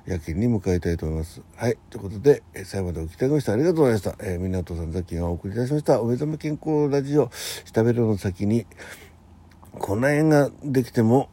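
A man with a low, very mellow and dark voice hosts a relaxed solo morning radio chat. His delivery is polite and halting, with hesitant pauses as he gathers his thoughts. The tone is husky, without any sharp edge. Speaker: host of a morning audio show. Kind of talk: casual morning monologue, thanking listeners.

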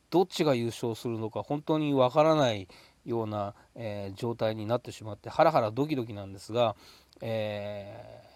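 A man hosting a casual solo talk show, speaking politely with drawn-out hesitations between phrases in a dry, close room. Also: medium pitch, a little mellow, no room sound. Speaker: podcast host.

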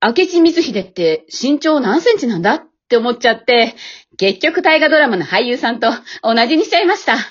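An amateur actress playing a modest, earnest housewife YouTuber in a radio drama, speaking politely and hesitantly. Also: female voice, on the high side, sing-song, brisk, lo-fi sound. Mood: modest, pleased.

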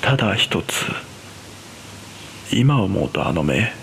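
A man playing an uneasy cleaner, narrating a scripted first-person horror story in a measured, hushed way. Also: low-pitched, big pitch swings, vocal fry, slight background noise, full character voice. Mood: eerie, shaken. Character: uneasy male trauma-scene cleaner recounting an eerie experience.

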